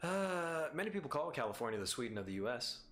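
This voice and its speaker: A male streamer with a slight Arizona mumble, joking and teasing his chat in a loose, rambling way. His pitch swings up and down all over the place, with quiet music playing under him. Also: big pitch swings, quick pace. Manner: playful, teasing, rambling jokes. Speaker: live streamer host. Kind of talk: casual chat with viewers.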